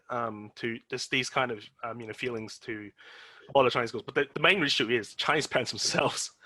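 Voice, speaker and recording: A man born in Australia hosting an interview, talking casually with halting restarts. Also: medium pitch, pushed and bright, rough recording. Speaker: podcast host.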